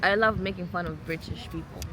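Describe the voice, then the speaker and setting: A woman's voice: lowish voice, a little fast. A young woman from Kenya chatting casually in a travel vlog, joking and laughing often, filmed on a phone. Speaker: vlogger. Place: beach outdoors. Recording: outdoor noise behind.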